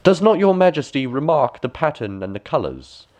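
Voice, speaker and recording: A man's voice: fairly high pitch, big pitch swings, normal speed, darkish tone, dull audio, voice pushed up. A man voicing fawning storybook courtiers who gush with exaggerated, false admiration.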